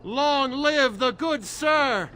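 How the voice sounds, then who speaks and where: A man's voice: extremely high pitch, drawn-out words, sharp tone, slight background noise, exaggerated voice. A male actor playing a humble, fawning beggar, hesitant, pleading and effusive. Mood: effusively grateful.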